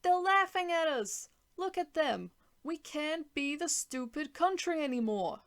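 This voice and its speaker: A woman voicing an arrogant male politician who boasts and mocks with drawn-out emphasis. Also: high-pitched, lively intonation, voice pushed up. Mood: indignant.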